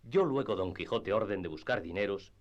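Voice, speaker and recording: A man narrating a classic novel for radio drama in a measured storytelling manner. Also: fairly low pitch, expressive, lo-fi sound.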